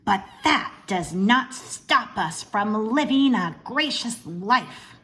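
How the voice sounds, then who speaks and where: A woman voicing a haughty, bossy older sister from a storybook, speaking in a pompous, deliberate, emphatic way. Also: medium pitch, expressive, pushed and bright. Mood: haughty, commanding.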